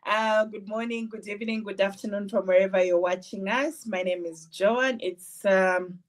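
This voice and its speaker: A Ugandan woman on a video call, a nurse introducing herself in an unhurried way with many hesitations. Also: mid-range voice, fairly even intonation. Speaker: guest, registered nurse. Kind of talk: self-introduction.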